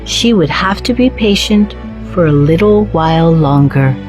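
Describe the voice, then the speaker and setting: Slow-paced woman narrating a fairy tale. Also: on the low side, lively intonation, over music. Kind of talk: scripted children's storybook narration.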